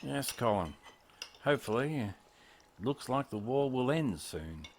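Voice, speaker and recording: A man's voice: mid-range voice, big pitch swings, measured pace, slight background noise. A man playing a hopeful uncle in a 1940s family radio play.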